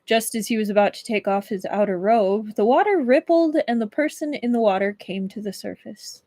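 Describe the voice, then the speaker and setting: A woman livestreaming as a book reader, with expressive storybook narration and casual chat. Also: medium pitch, normal speed, dry recording, clean studio sound.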